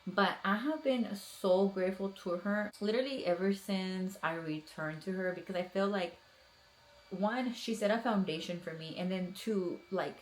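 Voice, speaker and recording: A woman's voice: medium pitch, moderate pace, a little hiss or hum, rough recording. A woman in her thirties giving earnest, encouraging advice in a casual vlog, talking in loose runs with many fillers. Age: 30s. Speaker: police officer vlogger.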